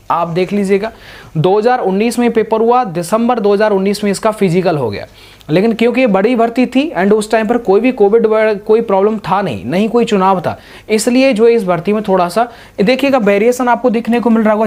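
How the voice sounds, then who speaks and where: A man's voice: sits high, a little mellow. Male exam coach. Informal, instructive explainer who restates points and keeps addressing students directly. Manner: repetitive, instructive, with frequent verbal pointers to the listener.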